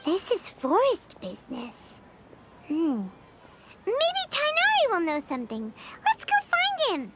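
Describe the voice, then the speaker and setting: A woman voicing a small, chirpy, curious fairy companion in an eager, excitable way. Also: very high voice, slow, exaggerated voice. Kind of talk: game cutscene voice acting. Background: loud game music.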